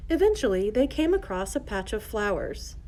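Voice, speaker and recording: A woman's voice: medium pitch, sing-song, normal speed, mellow. A woman narrating a scripted fairy tale for children as the storyteller. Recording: faint background noise.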